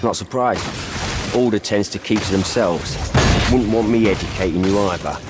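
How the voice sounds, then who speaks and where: A man's voice: on the low side, normal speed, loud music behind the voice, lightly acted. An actor playing a laid-back male hunter who rides a drake, talking in dry clipped sentences. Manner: dry, clipped, drops words. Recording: noisy game background.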